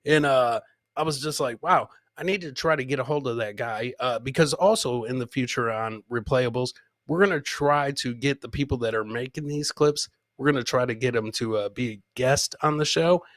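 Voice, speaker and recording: A man's voice: mid-range voice, normal speed, a bit bright, no room sound. A middle-aged man hosting a comedy podcast, chatting casually and swearing, repeating phrases for emphasis and playing to his audience like a showman. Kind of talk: casual live-stream comedy chat.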